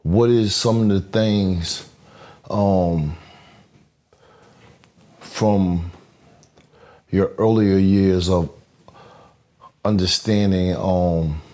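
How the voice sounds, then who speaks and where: A man hosting a podcast interview, halting and earnest, with many fillers and restarts. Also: low-pitched, steady tone, warm tone. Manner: halting, many fillers and restarts, says "you know what I'm saying"; devout, earnest. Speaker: podcast host. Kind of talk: interview conversation.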